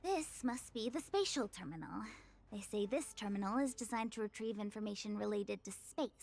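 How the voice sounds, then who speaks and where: A woman's voice: medium pitch, lively intonation, moderate pace, darkish tone. A woman voicing a perky, eager and playful young companion in a video game. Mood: chatty, explaining. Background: game music.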